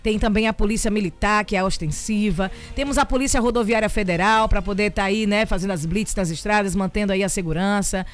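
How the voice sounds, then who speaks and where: A woman with a Bahian accent hosting a morning radio show, chatty and teasing, sending shout-outs to listeners from the studio. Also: medium pitch, quick pace, few pauses, faint music behind. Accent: Bahian Brazilian Portuguese. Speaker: radio host.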